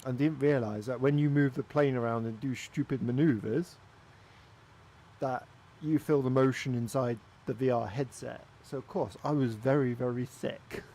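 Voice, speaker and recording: A man's voice: medium pitch, fairly even intonation, normal speed, dark tone. A man from Wales with a Welsh accent, giving casual, chatty commentary while flying a flight simulator. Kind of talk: casual gaming commentary with chat.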